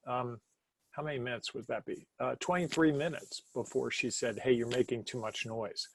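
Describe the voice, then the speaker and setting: A man presenting a webinar from his home basement office, keeping his naturally loud voice quiet and laid back. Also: mid-range voice, fairly even intonation, normal speed, slight background noise, poor audio. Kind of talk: casual webinar talk.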